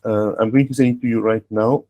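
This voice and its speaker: A man's voice: medium pitch, fairly even intonation, fairly quick, dull audio. A man whose first language is German hosts an online webinar as an admissions coach. He talks emphatically and often checks in with "huh?" and "okay?". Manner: emphatic advisory tone, tag questions like "huh?" and "okay?". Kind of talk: online webinar talk with a student guest.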